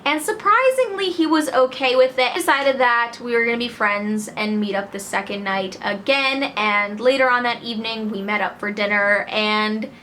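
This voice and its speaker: A woman from Connecticut telling a story to camera in a chatty, lively way. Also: fairly high pitch, normal speed, hardly any pauses, a little hiss or hum. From Connecticut, USA. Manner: chatty, lively, acts out quoted voices. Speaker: vlogger.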